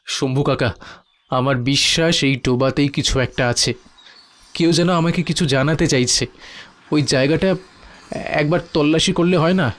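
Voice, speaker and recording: A man's voice: fairly high pitch, normal speed, long pauses, close to an everyday voice. A man playing a brave but frightened young village boy in a cartoon horror story, muttering anxiously to himself in a dramatic way. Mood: earnest.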